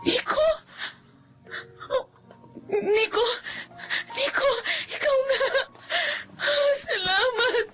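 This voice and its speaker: A woman's voice: very high-pitched, extremely slow, pauses often, fairly bright tone, faint music behind, heavily acted, poor audio. A woman acting a devout, emotional wife longing for her husband in a Tagalog radio drama. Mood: tearful longing.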